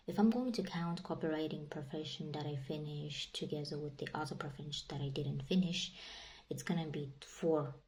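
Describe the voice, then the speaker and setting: Low-voiced Kazakh-accented woman rambling. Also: low-quality recording. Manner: rambling and repetitive, many "you know" and "like" fillers. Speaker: vlogger. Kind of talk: casual vlog monologue.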